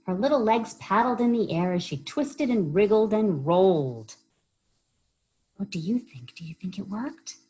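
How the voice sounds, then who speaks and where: A woman reading a children's story aloud as a library storyteller, expressive and often pausing to put questions to young listeners. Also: medium pitch, husky.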